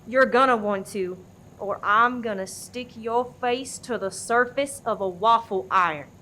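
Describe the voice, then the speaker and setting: A bossy sarge played by a woman in a comedic radio play. The voice is fairly high and forceful, handing out threats and orders at an unhurried pace. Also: a little hiss or hum. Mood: menacing. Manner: commanding, barking orders.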